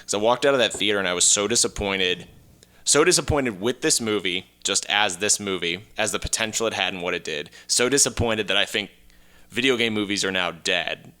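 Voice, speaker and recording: A man with a very deep, smooth voice and a bright, forceful edge chats casually as a podcast co-host. He is blunt and leans hard on his points. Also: fairly quick. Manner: blunt, emphatic. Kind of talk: casual chat.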